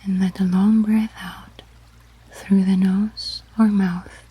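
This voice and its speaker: Breathy, whispering woman guiding sleep meditation. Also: mid-range voice, level tone. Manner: slow, gentle, measured instructions. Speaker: guided meditation narrator. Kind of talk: guided sleep meditation, ASMR.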